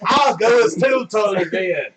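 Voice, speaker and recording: A man playing a loud, excitable, teasing buddy on the way to a party in an improvised radio-style comedy. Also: sits high, unhurried, distant mic, over a noisy crowd, pitched above the performer's own voice. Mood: excited. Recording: roomy echo, off the mic.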